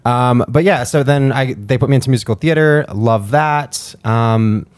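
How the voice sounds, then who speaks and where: A man's voice: mid-range voice, normal speed, darkish tone. A young man from Hawaii, a professional dancer, chatting casually as a podcast guest with lots of filler words. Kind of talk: casual interview chat.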